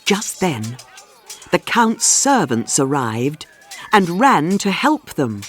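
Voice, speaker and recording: A woman reading a scripted storybook for English learners, with measured, clearly phrased storytelling. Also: sits low, sing-song, soft background music. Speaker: audiobook narrator.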